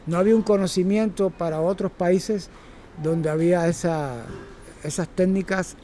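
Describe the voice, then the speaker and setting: A man's voice: sits high, steady tone, moderate pace, noisy background. A Cuban man in his sixties giving an informal, rambling lecture to circus students, often correcting himself. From Cuba. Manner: rambling, self-correcting, chatty. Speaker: circus instructor.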